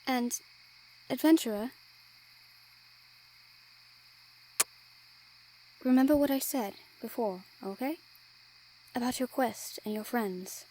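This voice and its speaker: A woman playing a friendly female merchant in a fantasy audio drama, gently teasing, caring and encouraging as she talks to the listener. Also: fairly high pitch, measured pace, a little hiss or hum, lightly acted, rough recording. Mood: tender.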